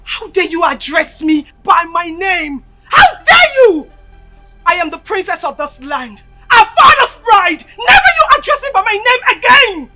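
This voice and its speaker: Very high-pitched, imperious Nigerian princess. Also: female voice, a little slow. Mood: furious, scornful. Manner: imperious, ranting, emphatic. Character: haughty, furious young princess betrayed by her lover. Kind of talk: screen drama acting. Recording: roomy echo, faint music behind.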